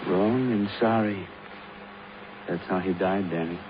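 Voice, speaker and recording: Low-voiced grave radio-drama doctor. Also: male voice, measured pace. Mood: grave, urgent. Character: male doctor reporting gravely on a patient. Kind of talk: 1950s radio crime drama acting. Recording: muffled, very noisy old radio recording.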